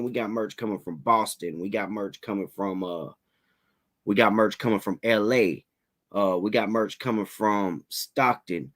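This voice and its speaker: A man hosting a casual livestream interview, talking in relaxed slang, a little raspy from a head cold. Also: lowish voice. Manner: laid-back slangy hype, fillers like "you know what I'm saying".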